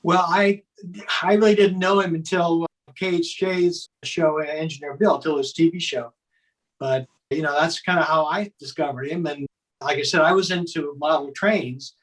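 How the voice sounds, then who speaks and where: A man's voice: on the high side, steady tone, moderate pace, a bit bright. A man chatting casually as an interview guest, reminiscing as a fan. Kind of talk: casual chat. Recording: distant microphone.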